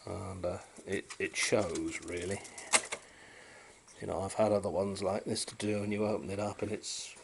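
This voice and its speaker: A man's voice: low voice, little pitch movement, moderate pace, creaky. A British man casually talking through a repair, unscripted, in a rambling, wryly understated way. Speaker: hobbyist repairer and presenter. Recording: noisy amateur camera sound.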